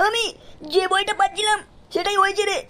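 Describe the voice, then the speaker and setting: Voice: very high-pitched, flat delivery, brisk, pushed and bright, a little hiss or hum. An actor playing an anxious, whiny young village boy in a cartoon, fretful and full of exclamations. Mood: worried. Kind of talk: Bengali cartoon acting.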